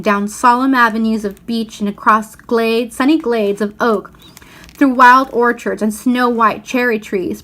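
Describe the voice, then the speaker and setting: A woman reading a storybook aloud as narrator, with expressive, deliberate phrasing. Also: a little high.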